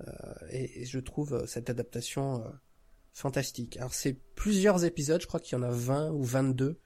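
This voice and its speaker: A man's voice: medium pitch, normal speed, dark tone. A man chatting casually as a podcast guest, enthusiastic and often searching for his words. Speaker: podcast guest, audio drama creator. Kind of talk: casual chat.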